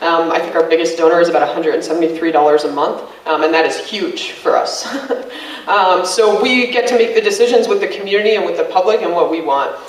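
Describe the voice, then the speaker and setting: Brief: loud, casual male organizer, high-pitched, speaking from a distance in a roomy space. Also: fairly quick. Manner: casual, upbeat, many fillers. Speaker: activist, field director. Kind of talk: informal talk to a student group. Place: college meeting room. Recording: echoey room from a distance.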